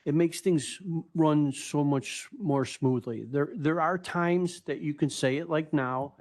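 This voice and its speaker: A man's voice: fairly high pitch, unhurried, a little mellow. A man on a county board making conciliatory remarks at a public meeting, often restarting his sentences.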